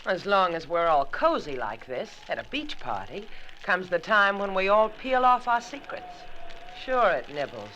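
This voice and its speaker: A hardboiled, defiant adventuress in 1940s radio drama style. Her sarcastic lines swing widely in pitch, with a sharp, forward tone. Faint noise sits on the old recording. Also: sing-song, moderate pace, highs cut off. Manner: sardonic, taunting. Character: defiant, tough, sarcastic female adventuress held captive.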